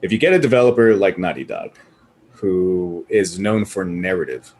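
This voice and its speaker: A man's voice: deep voice, moderate pace, darkish tone. A man chatting casually as a panelist in a group discussion, with a jokey, mock-exclaiming manner. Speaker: podcast panelist. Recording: clear background noise.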